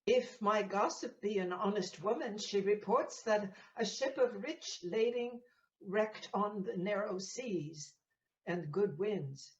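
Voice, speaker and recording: A woman playing a gossiping, needling Venetian townsperson in a Shakespearean audio play, with measured, halting delivery. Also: mid-range voice, expressive. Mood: gossipy concern. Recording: muffled, echoey room recording.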